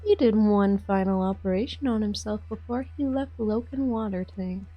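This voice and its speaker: A woman's voice: mid-range voice, moderate pace, no room sound, dull audio, faint music behind, close to an everyday voice. A woman playing a cool, taunting, cryptic mercenary in a fan-dubbed game drama, composed and provocative. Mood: cool, detached.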